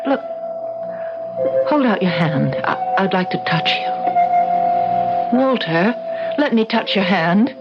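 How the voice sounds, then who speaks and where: An actress playing a distraught, insistent married woman in an old-time radio drama, speaking in a halting, emotional way. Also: fairly high pitch, wildly swooping pitch, exaggerated voice. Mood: uneasy, pleading. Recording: dull old radio recording, loud music.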